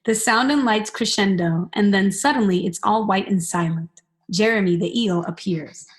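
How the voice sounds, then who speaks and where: A woman's voice: medium pitch, normal speed, close to an everyday voice. A young woman reading stage directions as scripted narration for a radio play. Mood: descriptive. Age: young adult.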